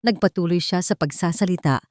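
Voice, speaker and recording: Woman, low-pitched, scripted dramatic storytelling, narrator, dry close sound. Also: brisk, darkish tone, no echo.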